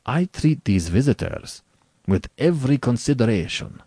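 A man's voice: mid-range voice, sing-song, normal speed, dark tone, husky, dry recording, clean studio sound, pitched above the performer's own voice. A man voicing an urbane, arrogant aristocratic general in a story, with bland, precisely worded condescension. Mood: suave, mocking. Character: urbane, arrogant male aristocrat general who hunts men.

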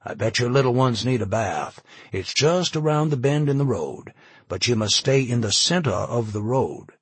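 A man voicing a calm armed man who defuses a standoff and reassures frightened refugees. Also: medium pitch, normal speed, husky, no room sound. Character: calm, steady man with a pistol.

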